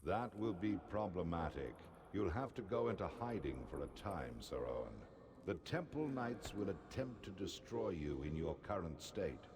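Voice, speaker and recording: Fantasy game god: ancient, very deep, solemn, formal, commanding. Noticeable background ambience. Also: male voice, big pitch swings, background noise. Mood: stern caution. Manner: grave, deliberate, formal. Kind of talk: scripted fantasy game acting.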